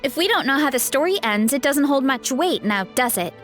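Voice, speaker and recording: A woman playing an earnest, thoughtful young female adventurer in a video game, with a reasoning, gently skeptical manner. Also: sits high, lively intonation, a little fast, soft background music.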